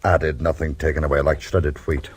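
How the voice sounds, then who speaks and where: A man acting in a radio drama as a gentlemanly criminal with a dry, understated, wry manner. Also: extremely low pitch, level tone, normal speed, breathy edge. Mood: dry, sly.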